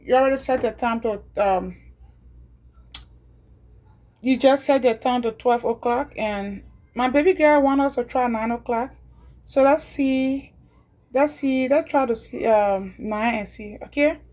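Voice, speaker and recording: A woman's voice: on the high side, darkish tone. A woman from Liberia with a Liberian accent, chatting casually as she repeats each step of a home tutorial. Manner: chatty, repeats steps slowly. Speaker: home video creator.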